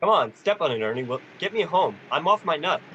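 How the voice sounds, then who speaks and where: A man's voice: a little high, big pitch swings, a little fast, bright, forceful tone, dull audio, a little hiss or hum. A man acting a desperate, stubborn family man in an amateur radio play. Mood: agitated.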